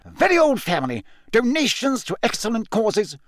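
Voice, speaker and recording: A man's voice: extremely high pitch, sing-song, slow, pushed and bright, no echo, studio quality, voice pushed up, exaggerated voice. A man voicing a short, pompous male government minister in an audiobook, blustering, indignant and panicky. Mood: affronted, angry. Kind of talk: audiobook character voice.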